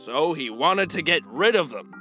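An actor playing a small, clumsy, boastful young donkey who tells stories excitedly in a children's audio drama. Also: medium pitch, normal speed, soft background music. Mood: eager storytelling. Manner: exuberant, self-assured, chatty.

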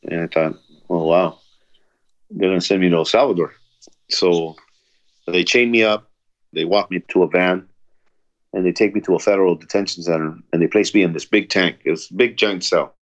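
A man's voice: low voice, steady tone, moderate pace, long pauses, rough recording. A man telling his own story of prison in an earnest, plain way, with restarts. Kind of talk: personal testimony interview.

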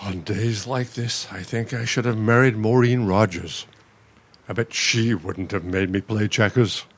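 An actor with an American accent playing a grumpy, needling older husband in a radio play, with a dry, sardonic manner. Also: male voice, fairly low pitch, measured pace, a little hiss or hum. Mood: sardonic, gloomy.

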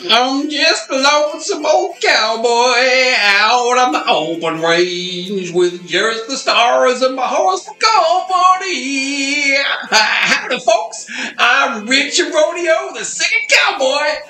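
A hokey singing cowboy for a comic radio play. The voice leaps way up high, bright and drawling, full of showy folksy cheer, in a distant, echoey recording. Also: male voice, animated, slow, soft background music, full character voice. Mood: showy, cheery.

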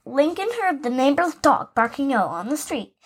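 High, animated female storyteller. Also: normal speed, noisy background. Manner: animated, expressive storytelling with asides. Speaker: story reader, podcast host. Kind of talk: reading a children's picture book aloud.

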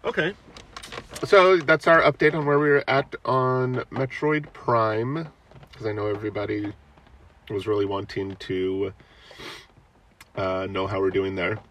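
A middle-aged man, a podcast host and parent, chatting casually with his child, relaxed and chuckling, asking lots of questions. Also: lowish voice, measured pace, pauses often, a little hiss or hum. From United States.

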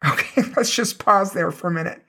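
A woman with a hoarse, raw voice, hosting a casual religious podcast and rereading quoted passages for emphasis. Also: lowish voice, a little fast, bright, forceful tone. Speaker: podcast host.

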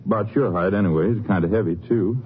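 An actor playing a folksy, evasive small-town livestock dealer with a drawl, hemming and stammering in a 1950s radio western. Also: male voice, fairly low pitch, fast talker, lightly acted. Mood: affable, folksy. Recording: muffled, noisy vintage radio recording.

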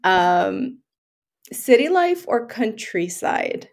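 Effusive woman hosting a relaxed interview. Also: on the high side, drawn-out words. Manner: effusive, often laughing. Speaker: podcast host interviewing a guest. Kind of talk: casual conversational interview.

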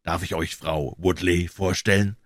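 A man's voice: deep voice, fairly even intonation, unhurried, no room sound, studio quality. A man doing a gloating young brute. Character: gloating, brutish young male villain.

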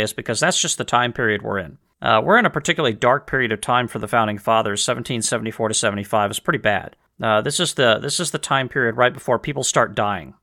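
A man's voice: mid-range voice, bright, forceful tone. A man hosting a solo history podcast, his voice tired after a long workday, rambling casually with frequent restarts and fillers. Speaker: podcast host. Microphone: headphones on, home podcast mic.

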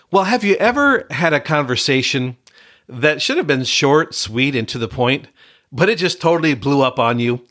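A man's voice: mid-range voice, a bit bright. Congested middle-aged male podcast host. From Michigan, USA. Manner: earnest, conversational, with frequent uh fillers. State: congested from a cold. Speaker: podcast host, pastor and coach. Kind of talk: solo podcast monologue.

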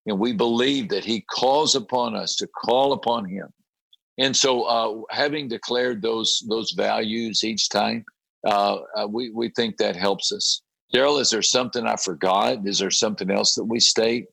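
A man, a pastor, with a raspy voice after a late night, talking in halting conversation, often repeating words and clearing his throat. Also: on the low side, steady tone, a bit bright, no room sound, low-quality recording. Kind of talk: conversational interview about a prayer group.

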